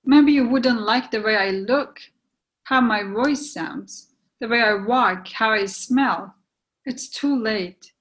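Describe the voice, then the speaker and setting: A reluctant young woman in a stage drama, acted by a woman, with a fairly high voice. She sounds guarded and torn, hesitant and regretful, as in a script-in-hand play reading. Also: takes it slowly, a bit bright, poor audio. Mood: sad, firm. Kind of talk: readers theatre dialogue reading.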